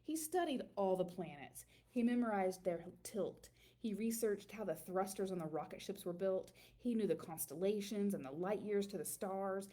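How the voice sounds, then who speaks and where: A woman reading a children's picture book aloud in an expressive storytelling manner, with dramatic emphasis and drawn-out words. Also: medium pitch.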